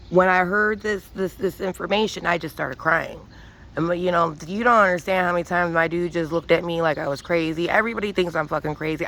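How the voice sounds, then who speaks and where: A woman's voice: on the low side, fairly bright tone. A woman giving an emphatic, profane spiritual monologue with many restarts, rambling and preachy. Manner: intense and preachy. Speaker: vlogger.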